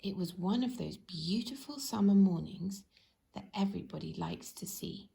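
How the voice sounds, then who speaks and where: A woman's voice: medium pitch, moderate pace, vocal fry, warm tone. A woman storyteller narrating a children's storybook.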